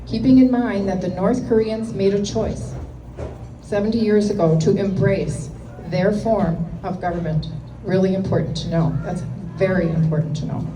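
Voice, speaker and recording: A woman host introduces a guest speaker in a measured, read-aloud way, her voice at a middle pitch. The sound is distant and roomy, with steady background noise clearly audible. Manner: measured, reading a prepared introduction. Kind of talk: formal introduction read to an audience. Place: meeting room with an audience.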